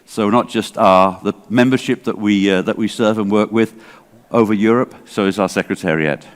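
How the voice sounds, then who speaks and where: Man in his sixties, English accent, low voice, chatty rambling talk, hall reverb. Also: normal speed. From England. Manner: chatty, digressive, self-deprecating, many fillers. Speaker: library association director. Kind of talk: conference talk. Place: large hall.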